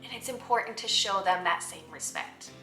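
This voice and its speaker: A woman's voice: lowish voice, moderate pace, sharp tone. A woman presenting picture books to teachers in an explanatory, teacherly way with emphatic, simple phrasing. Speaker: host recommending children's books to teachers. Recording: roomy echo, faint music behind. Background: faint music.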